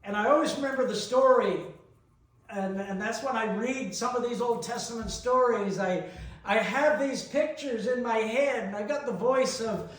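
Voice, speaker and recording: A middle-aged man preaching a reflective sermon, deliberate in delivery, with long pauses and rhetorical questions. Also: extremely high pitch. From New Brunswick, Canada. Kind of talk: reflective sermon with personal anecdotes. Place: large reverberant church interior. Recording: echoey church, picked up from a distance.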